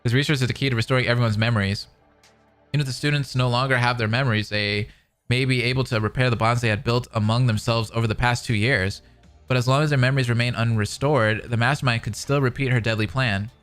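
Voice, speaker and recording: A man's voice: mid-range voice, steady tone, fast, fairly bright tone. Guy streamer reading a story and reacting, hyped and slangy, bit of music behind him. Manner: casual, slangy, enthusiastic. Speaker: streamer reacting to and reading a fan-dubbed visual novel. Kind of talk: live reaction commentary and read-aloud narration.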